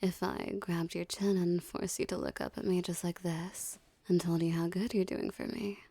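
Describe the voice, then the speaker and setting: Woman acting cool, dominant mafia boss, soft-toned, close. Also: lowish voice, flat delivery, no room sound. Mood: seductive, taunting. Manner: smooth menacing flirtation, condescending, unhurried. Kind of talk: ASMR roleplay, scripted character acting.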